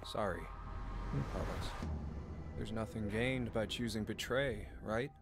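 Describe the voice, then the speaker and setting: An actor playing a guarded, cynical young man in a video game, speaking earnestly and deliberately. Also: lowish voice. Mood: cold, guarded. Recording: loud game music over dialogue.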